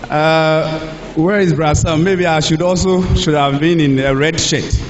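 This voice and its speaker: A man with a Ghanaian English accent giving a health talk in a church, speaking in short phrases and pausing for an interpreter. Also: fairly high pitch, steady tone. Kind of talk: public talk through an interpreter. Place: church hall. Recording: echoey hall, dull lo-fi sound, faint hiss.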